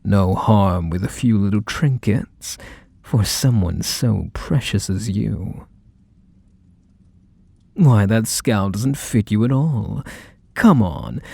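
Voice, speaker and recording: Man acting a slow, low-voiced possessive mafia boss. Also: gravelly, dark tone, dry recording, heavily acted. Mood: doting, manipulative. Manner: unhurried, mock-sweet, condescending pet names. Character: possessive, teasing, menacingly affectionate male mafia boss lover. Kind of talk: ASMR roleplay, intimate one-sided dialogue.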